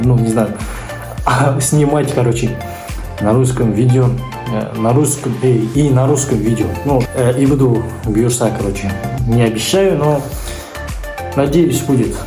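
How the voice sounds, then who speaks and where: Halting man vlogging. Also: mid-range voice, over music. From Kazakhstan. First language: Kazakh. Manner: halting, searching for words, many fillers. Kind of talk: casual vlog talk.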